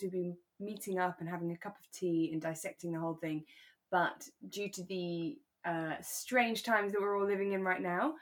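British female podcast host, easygoing conversational delivery, informal and unscripted. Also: lowish voice. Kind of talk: relaxed casual chat.

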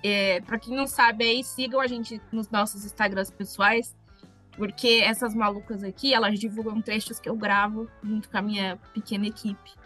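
A woman's voice: mid-range voice, steady tone, normal speed, pushed and bright, faint music behind. A Brazilian woman on a podcast panel, chatting casually in a blunt, slangy, emphatic way. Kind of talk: casual group chat.

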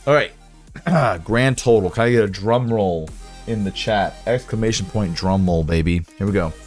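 Hoarse raspy guy on a livestream, lowish voice, rambly and sarcastic, faint music under him. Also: normal speed, a little mellow. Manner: rambling, sarcastic, swears, reads aloud to chat. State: hoarse, sore voice. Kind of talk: casual livestream chat with viewers.

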